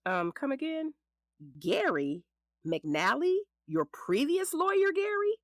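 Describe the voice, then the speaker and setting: A woman's voice: high voice, big pitch swings, slow pace, no echo, studio quality, heavily acted. An actress playing a frank, sassy middle-aged lawyer in a naturalistic audio drama, protective of the ex-husband she represents. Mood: incredulous. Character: frank, sassy female lawyer, protective ex-wife of her client. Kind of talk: naturalistic scripted drama dialogue.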